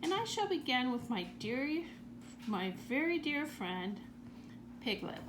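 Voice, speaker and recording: A woman's voice: sits high, sing-song, slow, background noise, full character voice. A woman voicing a cheerful, devoted male storybook bear who calls out eagerly. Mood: friendly, eager.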